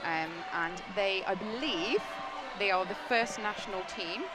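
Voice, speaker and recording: Woman commentator over loud arena noise. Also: mid-range voice, a little slow, over a noisy crowd. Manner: earnest, explanatory, grows excited on plays. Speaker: roller derby commentator. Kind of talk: live sports commentary. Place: sports venue.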